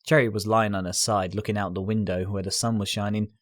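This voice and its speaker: A man reading a scripted story aloud as narrator, in an expressive, earnest and dramatic storytelling style. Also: lowish voice, quick pace, no room sound, clean studio sound.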